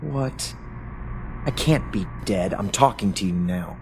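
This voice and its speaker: An actor in an audio play as a bewildered, sarcastic secret agent who talks in an agitated, demanding way. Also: male voice, lowish voice, animated, slow pace, darkish tone, a little hiss or hum. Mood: sarcastic disbelief. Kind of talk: audio drama acting.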